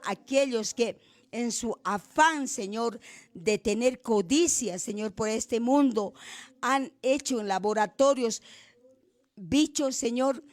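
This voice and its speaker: High-voiced woman in fervent pleading prayer. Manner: pleading, repeating "Señor" and "papá" between phrases. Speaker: prayer leader.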